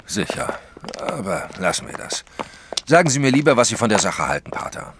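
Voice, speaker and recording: An actor playing a wary, plain-spoken private detective in a German audio drama, firm and sceptical. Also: male voice, lowish voice, sing-song, fairly quick, background noise. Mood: wry, casual.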